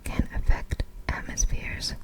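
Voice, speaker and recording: Slow whispering woman, ASMR. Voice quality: breathy, unvoiced whisper. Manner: halting, apologetic reader, stumbles over hard words. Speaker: ASMR video creator. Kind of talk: whispered ASMR reading of facts.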